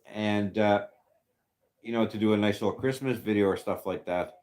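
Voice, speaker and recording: A man in his fifties hosting a casual livestream chat, rambling and halting with many fillers as he answers viewers. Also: lowish voice, darkish tone. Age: 50s. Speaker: livestream host reading chat.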